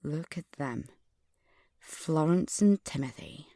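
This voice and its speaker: A woman's voice: low voice, lively intonation, slow, dark tone, no room sound, clean studio sound, full character voice. A woman playing an angry, suspicious teenage schoolgirl in an audio drama, earnestly narrating her memories and visions and speaking in dialogue. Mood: indignant.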